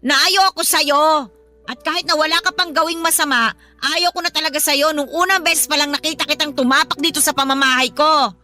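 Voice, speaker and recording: A woman's voice: high voice, steady tone, fairly quick, pushed and bright. A woman playing a cranky, blunt grandmother who scolds her son's partner in a radio drama. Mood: irritated, scolding. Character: cranky, hostile mother-in-law, a grandmother, scolding at home.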